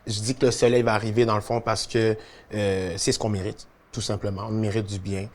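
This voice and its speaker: A man's voice: fairly low pitch, fairly quick. A young man with a Quebec French accent, a musician chatting casually and reflectively about his career. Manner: relaxed, reflective, many fillers. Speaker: musician guest. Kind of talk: casual conversation.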